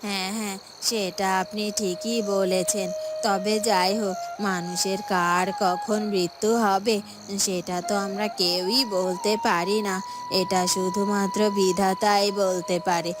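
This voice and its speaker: Woman acting a concerned villager, medium pitch. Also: flat delivery, measured pace, lightly acted. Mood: respectful, earnest. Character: concerned, trusting villager, respectful to elders. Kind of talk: Bengali moral-story cartoon dubbing. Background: music.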